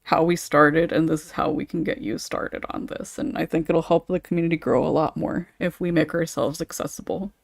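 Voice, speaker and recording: A woman with a somewhat low voice hosts an informal podcast conversation. She talks off the cuff with a tongue-in-cheek touch, and the recording is dry, free of room sound. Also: fairly even intonation, brisk, creaky, hardly any pauses, no echo. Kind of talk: casual group chat.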